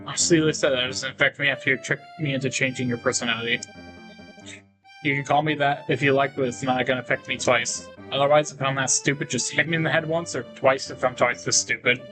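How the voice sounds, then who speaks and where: A man's voice: medium pitch, sharp tone, rough recording. A man reading visual novel dialogue aloud in character, as a gaming video host. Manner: acts out characters' lines while reading.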